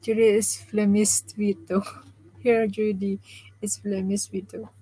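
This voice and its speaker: Slow, soft-spoken woman after jaw surgery. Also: medium pitch, flat delivery, rough recording. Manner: speaks softly, restarting phrases, laughing often. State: recovering from jaw surgery, tired. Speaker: live stream host. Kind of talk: casual live stream chat reading comments.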